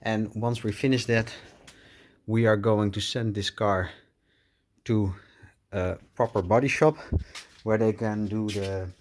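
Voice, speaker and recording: A middle-aged Dutch man with a Dutch accent, speaking English with many pauses and fillers as he shows his car project in a garage. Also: lowish voice, fairly even intonation, darkish tone. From Netherlands. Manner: hesitant, many uhs, apologetic. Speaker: car restoration vlogger. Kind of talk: casual walkaround vlog.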